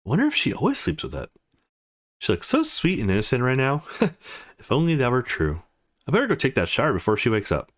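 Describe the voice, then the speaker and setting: A man hosts a let's play, reading a visual novel aloud in an informal way. His mid-pitched voice is highly animated, rising and dropping a great deal as he narrates. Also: quick pace. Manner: expressive, informal.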